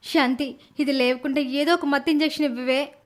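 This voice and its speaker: A woman acting a scheming young woman from a cartoon moral tale. Her voice is high and she speaks with dramatic urgency, desperate and calculating. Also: fairly even intonation, a little fast, natural voice. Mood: pleading. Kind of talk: animated moral story voice acting.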